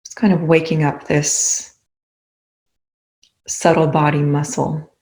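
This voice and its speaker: A woman leading a guided meditation in slow, measured phrases with pauses between them. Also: low-pitched, flat delivery, darkish tone, poor audio.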